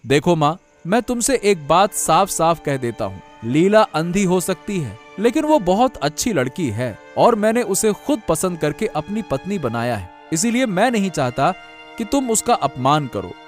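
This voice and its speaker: A man's voice: sits high, very animated, moderate pace, soft background music. A man voicing an earnest, devoted young husband in a cartoon, speaking emphatically and repeating himself. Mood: defiant, exasperated. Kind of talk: Hindi cartoon dialogue acting.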